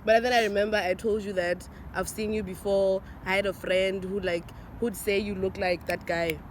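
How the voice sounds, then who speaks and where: A woman's voice: steady tone, moderate pace. A young woman from Botswana with a Botswana accent, playfully telling how she met her boyfriend and teasing him as she acts out what each of them said. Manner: playful, teasing, acts out quotes with big pitch swings. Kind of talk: casual storytelling chat. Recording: noisy outdoor ambience.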